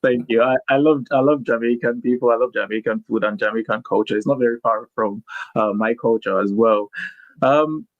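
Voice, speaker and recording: A male podcast host talks in a casual, halting way, full of restarts and filler sounds. His voice is mid-pitched, and the recording is dry with no room sound. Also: dry recording, phone-quality sound. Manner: hesitant, many restarts and ums. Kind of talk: casual interview talk.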